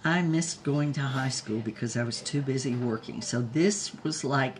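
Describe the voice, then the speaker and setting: A woman's voice: a bass voice, normal speed, slight background noise. An actress playing an irreverent, wise former Hollywood starlet in her nineties, with a wry, salty, theatrical delivery in an audio drama. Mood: wry nostalgia. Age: 90s, as played. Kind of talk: audio drama monologue, reflective storytelling.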